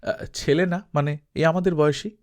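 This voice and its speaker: A man's voice: fairly high pitch, fairly even intonation, normal speed, a little mellow, close to an everyday voice. A man playing a nostalgic, slightly awkward middle-aged man in a storybook reading, polite and hesitant.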